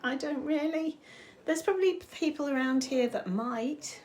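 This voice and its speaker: A woman's voice: high voice, unhurried, darkish tone. A woman playing a sceptical older local lady. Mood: easygoing. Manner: sceptical, easygoing. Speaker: interviewed local.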